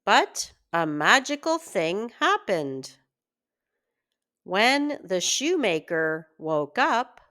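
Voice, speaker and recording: A woman reading a children's fairy tale aloud in an expressive, deliberate storytelling manner. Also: medium pitch. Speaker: children's story reader, teacher.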